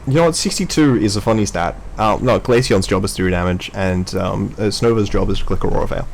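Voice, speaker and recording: Casting: man for casual gaming commentary, lower register, creaky edge, playful teasing delivery, noisy home-made sound. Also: quick pace. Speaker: host of a Pokémon draft analysis video.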